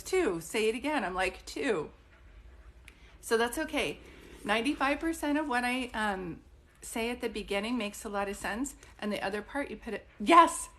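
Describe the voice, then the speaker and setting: A woman's voice: fairly high pitch, a bit bright. A middle-aged woman working as a psychic medium, chatting casually on a live stream, thinking aloud and often stopping to restart a word.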